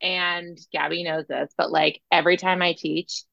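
Young female co-host, scratchy and fry-heavy, chatty, lo-fi dry mic. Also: on the low side, little pitch movement, moderate pace, a bit bright, no echo. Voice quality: scratchy from teaching. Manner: chatty, laughs often, says "like" a lot. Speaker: podcast co-host, spin instructor. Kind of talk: casual chat. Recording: dull lo-fi sound.

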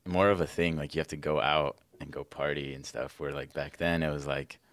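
Deep-voiced laid-back young man. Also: fairly even intonation, a little fast. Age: 20s. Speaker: podcast co-host. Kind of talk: casual chat.

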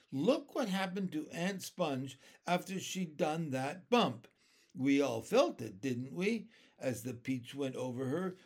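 Man doing a boastful, high, gleeful centipede. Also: darkish tone, exaggerated voice. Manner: exuberant, theatrical.

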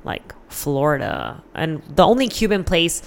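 A woman's voice: low-pitched, huge pitch swings, a little slow, gravelly. An American woman chatting casually as an interview guest, candid and informal, swearing freely as she tells personal stories.

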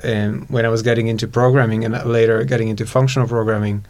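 A man's voice: lowish voice, little pitch movement, fast talker, a little mellow. Hungarian-accented man explaining casually. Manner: thoughtful, many restarts, laughs easily. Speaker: guest expert. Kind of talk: casual podcast chat.

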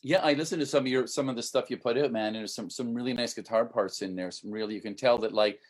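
A man's voice: medium pitch, fast talker. Enthusiastic male livestream host. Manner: enthusiastic, repeats words, says man often. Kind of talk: casual interview chat.

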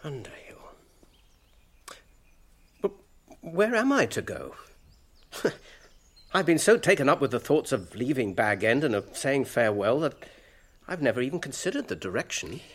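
An actor playing a mild, thoughtful, genteel hobbit with a British accent, gentle and well-spoken, in a radio drama. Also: male voice, medium pitch, big pitch swings, moderate pace, pauses often. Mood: musing, resolved.

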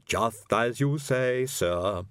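A man voicing an odd male servant surgeon who speaks slowly and calmly. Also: lowish voice, no echo, clean studio sound. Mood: matter-of-fact. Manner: slow, drawn-out, unbothered.

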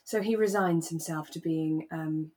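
A woman co-hosting a relaxed podcast chat about a radio drama, telling things in an animated, dramatic way. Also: low voice, measured pace. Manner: animated, dramatic storytelling with acted-out asides. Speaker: podcast co-host; actor.

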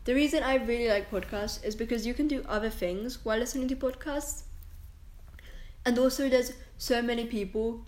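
A woman's voice: fairly high pitch, moderate pace, a little mellow. Chatty young woman rambling in an echoey room. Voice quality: strong, confident voice. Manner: rambling, chatty, self-interrupting with likes and restarts. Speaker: podcast host. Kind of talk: casual solo rant. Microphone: camera and microphone, recording herself.

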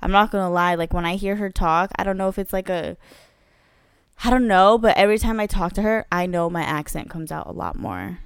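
A woman's voice: on the low side, expressive, brisk, creaky, clear, resonant tone, no room sound, clean studio sound. A young woman from the United States chatting casually as a podcast co-host, telling stories in an informal, slangy way.

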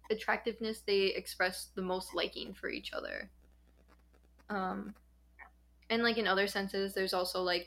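A young woman in casual podcast chat, walking through study findings she has read. She talks at a middle pitch and fills her sentences with ums and likes as she explains. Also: a bit bright. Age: young adult. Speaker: podcast host.

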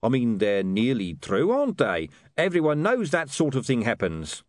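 A man's voice: medium pitch, huge pitch swings, normal speed, dry recording, clean studio sound, voice pushed up. A man voicing a cheerfully unscrupulous middle-aged street huckster in an audiobook, matey, wheedling and full of excuses.

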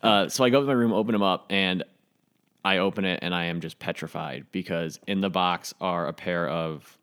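Young guy cohost rambling through a story, kinda low voice. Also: brisk, a bit bright, smooth. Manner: rambling, many fillers and restarts, dramatic. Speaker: podcast co-host. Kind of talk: casual storytelling chat.

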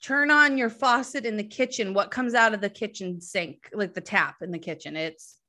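A woman's voice: mid-range voice, moderate pace, sharp tone. A woman from southern New Hampshire hosting a casual chat show, chatty and laughing easily as she reads listener questions. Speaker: podcast host.

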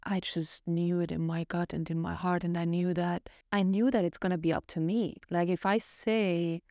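Low-voiced woman with a German-speaking background. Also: little pitch movement, moderate pace, dark tone, no room sound, clean studio sound. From Austria. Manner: reflective, earnest storytelling. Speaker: podcast co-host. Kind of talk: casual podcast conversation.

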